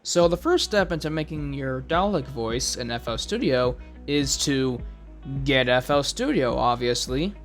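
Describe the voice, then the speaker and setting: Male tutorial narrator, highish and very lively, theatrical emphasis, music underneath. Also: a little slow. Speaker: tutorial host.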